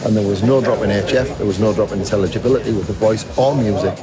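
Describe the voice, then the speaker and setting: A man delivers an informal testimonial in a somewhat low voice. Music plays clearly in the background. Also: quick pace.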